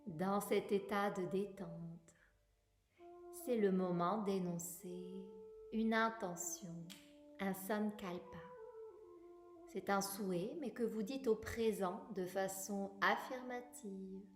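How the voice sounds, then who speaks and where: A woman guiding a yoga relaxation meditation in a calm, soothing, unhurried manner, leaving long pauses. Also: mid-range voice. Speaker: yoga nidra guide. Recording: roomy echo, music bed. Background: music.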